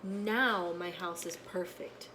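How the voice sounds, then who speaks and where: Lady doing a friendly hermit crab for a kids book, expressive, polite, eager, noisy audio. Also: fairly low pitch, takes it slowly. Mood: fond.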